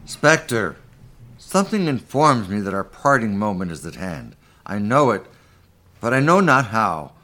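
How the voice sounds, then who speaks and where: A man's voice: fairly low pitch, very animated, a little slow, bright, forceful tone, full character voice. A man playing a repentant old miser in a live radio play of a Victorian story, earnest, emphatic and theatrical. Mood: fearful pleading. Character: repentant old miser, pleading, then giddily joyful.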